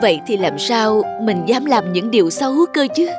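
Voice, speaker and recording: A woman's voice: mid-range voice, lively intonation, moderate pace, lightly acted. A woman voicing a sheepish, kind-hearted homeless man in a cartoon, with a hesitant manner. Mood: wistful, reflective. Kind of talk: cartoon voice acting. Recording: music behind the voice.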